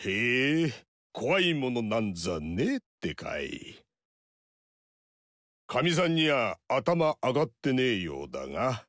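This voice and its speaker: A man voicing a gruff veteran warlord with a rough, teasing, drawling way of talking in video game dialogue. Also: mid-range voice, big pitch swings, slow, fairly bright tone, no room sound, clean studio sound. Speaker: warlord character.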